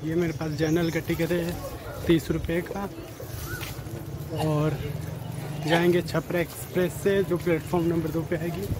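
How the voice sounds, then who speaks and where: A man narrates a casual travel vlog in halting, repetitive short phrases. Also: a little high, flat delivery. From Uttar Pradesh, India. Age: 20s. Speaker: travel vlogger. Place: outdoor railway platforms and inside a train. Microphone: phone at arm's length. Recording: loud railway station and train noise.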